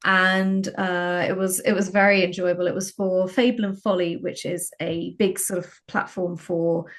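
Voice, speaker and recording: A woman chatting as a podcast guest, telling anecdotes in an animated, self-deprecating way. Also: fairly low pitch, moderate pace. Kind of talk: casual anecdotal chat.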